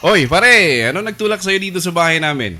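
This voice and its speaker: A joking young Filipino buddy talks in a bright, animated voice. He is casual and teasing, in radio drama dialogue with slight noise. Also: high-pitched, fairly quick, heavily acted. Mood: breezy teasing. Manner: teasing, colloquial.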